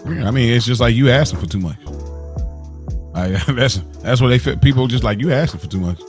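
A man's voice: mid-range voice, fairly quick, faint music behind. An American man co-hosting a podcast, telling stories in casual, slangy chat and acting out quotes as he goes. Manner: animated retelling with acted-out quotes, slangy. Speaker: podcast co-host.

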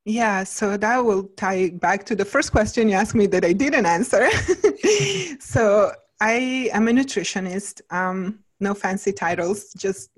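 A woman's voice: mid-range voice, animated, a little slow. A middle-aged woman with an Italian accent, talking casually as a guest nutritionist with lots of "like" and "you know". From Italy. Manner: chatty, many fillers like "like" and "you know". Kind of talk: casual interview talk.

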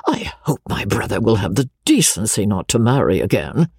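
Woman playing a haughty, husky older spinster. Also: medium pitch, big pitch swings, normal speed, darkish tone, no echo, studio quality. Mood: dry, peevish. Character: imperious, self-centred older wealthy spinster.

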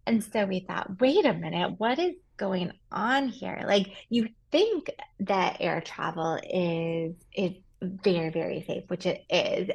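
Slightly low, husky, creaky woman's voice. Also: dull audio. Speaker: reporter, interview guest. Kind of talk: explanatory interview answers.

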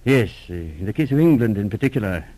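An actor playing a grave, formal English lord and cabinet minister near sixty in a 1940s radio drama, measured and a little hesitant. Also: male voice, fairly low pitch, animated, mellow. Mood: grave, concerned. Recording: old radio drama recording.

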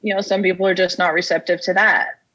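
A woman's voice: fairly low pitch, fairly even intonation, quick pace, bright, forceful tone. A young woman from Kentucky with a Kentucky accent, telling stories casually and plainly as an interview guest, on slightly lo-fi audio. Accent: American, Kentucky. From Casey County, Kentucky, United States. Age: young adult. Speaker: interview guest, whiskey industry professional. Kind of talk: casual conversational storytelling.

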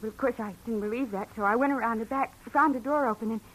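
A woman's voice: fairly high pitch, fast, a bit bright, low-quality recording. An actress playing a frightened young woman in trouble, hesitant and stammering, in 1940s radio drama style. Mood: nervous. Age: young adult. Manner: hesitant, stammering, breathy pleading. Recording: old radio broadcast with faint hiss.

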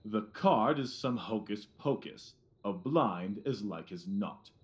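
Male actor as smug Victorian police inspector, blustering, officious, lowish voice, highly animated delivery, audio drama. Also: slow pace. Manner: pompous, self-satisfied, officious. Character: pompous, blustering Victorian police inspector, smugly self-assured.

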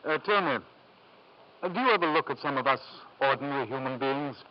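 An actor playing a courteous, reserved doctor in a radio drama, measured and quietly reproachful. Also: male voice, mid-range voice, expressive, lightly acted. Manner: measured, politely restrained. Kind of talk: 1950s radio drama acting. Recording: muffled 1950s radio recording.

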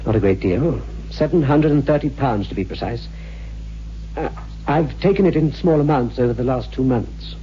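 A muffled old radio recording with a faint hum. A man plays a worried, despairing husband in a horror drama. His voice is mellow and mid-pitched, testy yet defeated. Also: animated, normal speed, lightly acted.

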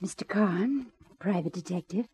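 An actress playing a cool but urgent client in trouble in a 1950s radio drama, husky and breathy. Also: female voice, medium pitch, normal speed, mellow. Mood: urgent, composed.

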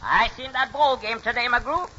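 A man's voice: very high voice, normal speed, sharp tone, lo-fi sound, heavily acted. A man playing a brash, cackling fifty-four-year-old midget who heckles in a 1940s radio comedy. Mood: mocking.

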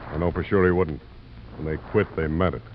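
A man acts out a blunt, surly boyfriend in a 1950s radio play. His voice is very deep, with terse, gruff delivery. The vintage recording sounds muffled. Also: fairly even intonation, fairly quick, a little hiss or hum, lightly acted. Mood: curt, surly. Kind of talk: 1950s radio drama acting.

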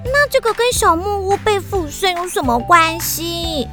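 A woman's voice: extremely high pitch, animated, unhurried, pushed and bright, soft background music, exaggerated voice. A woman voicing an earnest, easily frightened primary school child in a children's radio drama, stammering and pleading. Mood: eager, curious. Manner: stammering, pleading, breathless urgency.

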